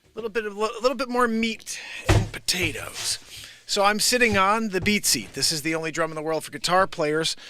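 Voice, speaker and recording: A man's voice: sits high, a little fast, background noise. A man casually chatting to a live-stream audience as singer and host, with an informal, breezy, self-deprecating manner. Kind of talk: casual chat to a live audience between songs. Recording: live guitar music in the recording. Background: guitar playing.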